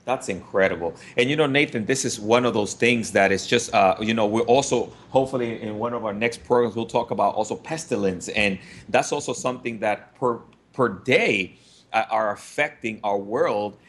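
A man's voice: lowish voice, a bit bright. A man from the Dominican Republic, a pastor co-hosting a broadcast discussion, affirming his partner with many fillers. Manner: affirming, fillers, quick laughs. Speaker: pastor and co-host.

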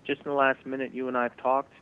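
A man's voice: medium pitch, little pitch movement, clean tone. Man on a tinny phone-like line. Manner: reels off statistics, measured. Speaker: co-host. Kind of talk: conversational broadcast discussion.

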